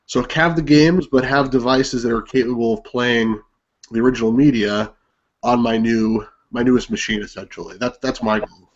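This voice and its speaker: Mid-pitched explanatory man, dull lo-fi sound. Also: steady tone, normal speed. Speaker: collector panelist. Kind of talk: casual discussion. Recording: dull lo-fi video-call audio.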